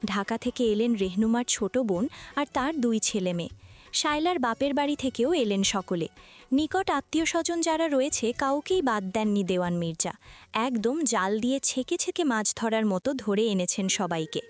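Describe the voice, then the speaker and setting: A woman's voice: fairly high pitch, lively intonation, moderate pace. A woman reading scripted narration for a romantic family audio story.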